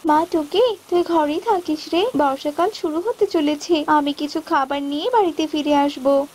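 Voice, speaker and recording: A woman's voice: high-pitched, moderate pace, a little mellow, slight background noise, natural voice. A woman voicing a kind, put-upon mother bird in a cartoon folk tale, earnest and emotional in her appeals. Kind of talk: animated folk tale voice acting.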